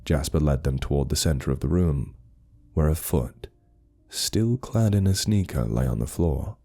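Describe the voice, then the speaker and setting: A man's voice: extremely low pitch, moderate pace, mellow. A man narrating a scripted horror story in a grave, suspenseful manner.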